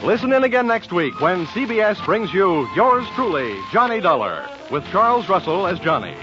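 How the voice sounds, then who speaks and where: A man's voice: high voice, sing-song, normal speed. A man with an American accent reading a scripted 1940s network radio announcement. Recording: dull lo-fi 1940s radio, faint music.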